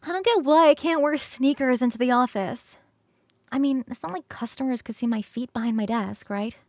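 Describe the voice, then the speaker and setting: A woman voicing a young succubus roommate in an ASMR roleplay, casual, animated, chatty and affectionate. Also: sits high, normal speed, no room sound. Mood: breezy, grumbling.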